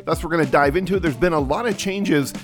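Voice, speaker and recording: A man's voice: fairly high pitch, fast talker, over music. A man with a cold, sounding slightly nasal, hosts a solo podcast in a casual coaching manner with many fillers and restarts. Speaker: podcast host.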